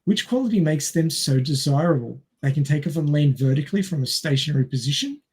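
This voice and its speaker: A man's voice: on the high side, expressive, fast, darkish tone, slightly rough. A man with an Australian accent hosting a casual quiz among friends, reading out questions and chatting. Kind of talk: casual group quiz chat.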